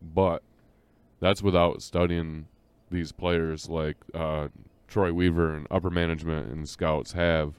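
A man co-hosting a casual sports podcast, with a deep bass voice and a hesitant, measured way of talking. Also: flat delivery, smooth, no room sound. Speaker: podcast co-host and producer.

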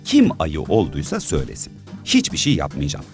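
A man voicing a curious, persistent female schoolteacher who questions the children softly. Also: extremely low pitch, huge pitch swings, brisk, soft background music, pitched below the performer's own voice, full character voice. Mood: gentle.

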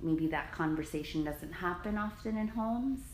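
Low-voiced woman, echoey room. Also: expressive, moderate pace, darkish tone. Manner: supportive, thoughtful, hesitant with many fillers. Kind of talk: casual chat. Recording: echoey room with faint noise.

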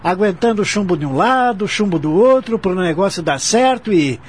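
A man's voice: high-pitched, expressive, brisk, a little hiss or hum. An actor playing an arrogant businessman in a Brazilian radio soap, speaking with a Brazilian accent and many tag questions. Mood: weary, bitter. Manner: haughty, hedging with tag questions and filler words. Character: arrogant, haughty businessman worn out by failed deals. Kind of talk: radio soap opera acting.

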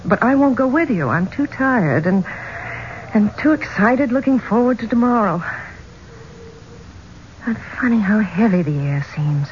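A woman playing an excitable, wary young woman. Her mid-pitched voice swings expressively through halting phrases in 1970s radio drama style, on a muffled old recording. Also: a little hiss or hum, lightly acted.

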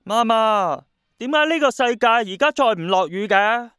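Clear-voiced, curious little schoolboy acted out. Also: medium pitch, lively intonation, normal speed, a bit bright, no echo, studio quality, lightly acted. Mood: curious, puzzled. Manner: naive, questioning. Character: earnest, curious young grandson, a schoolboy. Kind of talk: Cantonese radio drama acting.

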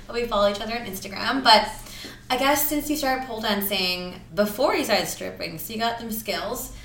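A hoarse young woman hosts a chatty podcast with a bright, forceful voice a little above the usual pitch. She is bubbly and blunt, swearing and laughing as she talks. The sound rings in an echoey room with faint music underneath. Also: a little fast, slight background noise. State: hoarse, lost voice. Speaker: podcast host. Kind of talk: casual podcast chat.

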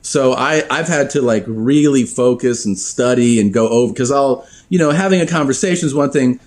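A man's voice: mid-range voice, normal speed. Profane, laughing storyteller man. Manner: profane, animated storyteller who laughs often. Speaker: podcast guest. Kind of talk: casual storytelling.

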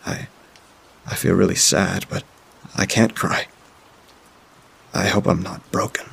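A man narrating as a frightened young child keeping a diary, speaking haltingly with frequent filler sounds. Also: low-pitched, flat delivery, vocal fry, fairly bright tone, slightly rough, exaggerated voice. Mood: hushed, halting. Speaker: storyteller. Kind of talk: first-person diary reading.

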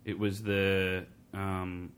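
Casting note: low-pitched male podcast co-host, loose, rambling gaming chat. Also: flat delivery, very slow, fairly bright tone, clear, resonant tone. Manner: rambling, many fillers and restarts.

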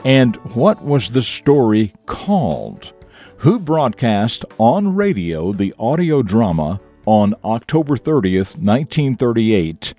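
A male morning show host with a lowish, swooping voice. He phrases things emphatically and deliberately, in a folksy scripted style. The sound is close and dry, with faint music behind. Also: darkish tone, no room sound. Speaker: radio show and podcast host. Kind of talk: scripted morning show presenting, addressed to young listeners.

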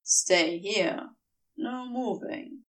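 A woman reads a male lover in an audiobook story, commanding yet tender. He speaks slowly, with amusement and a soft note of warning, a little back from the microphone. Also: far from the mic, poor audio. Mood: soothing. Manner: low-key amused, gently warning. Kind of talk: audiobook character dialogue.

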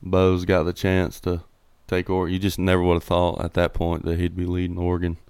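A man's voice: low voice, completely flat delivery, fairly quick, darkish tone, clear, resonant tone, no echo. A man co-hosting a podcast, chatting casually about college football and rambling through his thoughts. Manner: rambling, restarts mid-thought. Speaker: podcast co-host. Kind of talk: casual sports chat.

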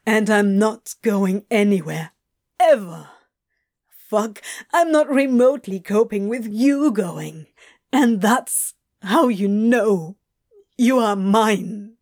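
A gruff but tender parent, acted by a woman narrator, fiercely protective and full of feeling. The delivery is slow and emphatic, with swearing and repeated words, as in an emotional audiobook scene. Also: mid-range voice, lively intonation, a little mellow.

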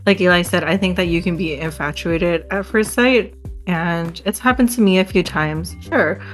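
A woman's voice: on the low side, moderate pace, soft background music. A woman in her twenties, a podcast guest, speaking with dry, teasing humor. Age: 20s. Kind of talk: casual chat.

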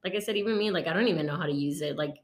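A woman in her thirties, a guest in a casual chat, talking in a relaxed, rambling way full of fillers. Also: low-pitched, very fast. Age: 30s. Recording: roomy echo.

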